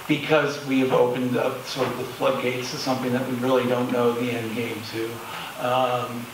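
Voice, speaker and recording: A man speaks from off the mic in a heavily echoing, noisy room. He gives serious, halting panel remarks with very little movement in his pitch. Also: completely flat delivery. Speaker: panelist, clinician in private practice. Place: live panel event in an echoey room. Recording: echoey room, distant mic, clear noise.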